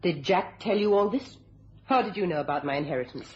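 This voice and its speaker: An actress playing a haughty, defensive adulteress in a radio thriller, with a curt, indignant manner. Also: female voice, on the low side, expressive, brisk, a little mellow, close to an everyday voice. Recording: dull, clearly noisy radio sound.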